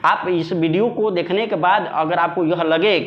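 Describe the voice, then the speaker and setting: A man's voice: high-pitched, fairly even intonation, a little slow. A man teaching an online exam coaching lesson, explaining to students emphatically and repeating phrases for stress, on a dull lo-fi recording.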